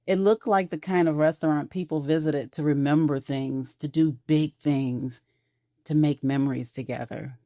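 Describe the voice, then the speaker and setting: A woman narrates a scripted romantic audio drama. Her voice is low and dark-toned, and the recording is close and dry, with no room sound. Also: steady tone, moderate pace, no echo.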